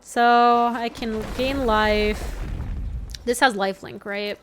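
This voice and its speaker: Young woman from ecuador streaming cards, spanish is her first language, chatty, greets chat, bit high voice. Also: steady tone, soft background music. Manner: chatty, reads chat aloud, quick reactions and laughs. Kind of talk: casual live-stream gameplay commentary.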